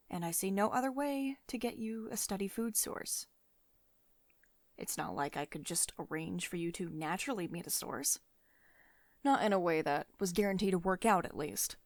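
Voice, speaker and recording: A woman's voice: fairly low pitch, dry recording. A woman playing a commanding, protective tech CEO who is secretly devoted and tender, in an intimate ASMR roleplay monologue.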